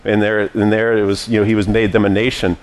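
A man's voice: lowish voice, little pitch movement, quick pace. A man preaching a sermon as pastor, teaching in a conversational way with frequent restarts.

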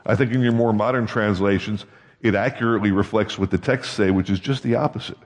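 A man's voice: lowish voice, fairly even intonation, quick pace. A man giving a sermon in a conversational way, often checking in with the congregation with questions. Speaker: pastor. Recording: long hall reverb.

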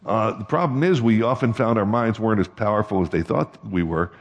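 A man's voice: lowish voice, brisk. Man preaching in a reverberant hall. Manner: conversational, asks the congregation questions. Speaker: pastor. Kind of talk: sermon.

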